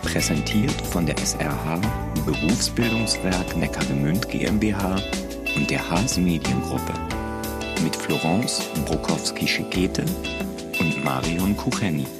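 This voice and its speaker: A man reading a scripted podcast intro in a smooth, measured promo style. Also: low voice, animated. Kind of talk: scripted announcement over music. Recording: loud music bed under voice.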